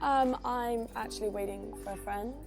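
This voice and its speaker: A woman's voice: on the high side, fairly even intonation, moderate pace, natural voice. A woman playing a young woman who gives polite, slightly guarded replies to a stranger. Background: faint background music.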